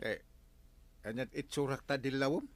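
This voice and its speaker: A man's voice: medium pitch, fairly even intonation, moderate pace, dry recording, natural voice. A man playing a bumbling, hesitant village captain and argumentative husband in a comedy radio drama. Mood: bickering.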